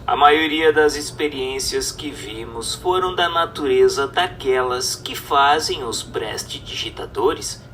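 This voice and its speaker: A man reads a formal text aloud as scripted narration, in a measured, didactic manner. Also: mid-range voice, fairly bright tone, slight background noise. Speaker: narrator reading a book aloud. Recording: distant mic with music underneath. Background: music.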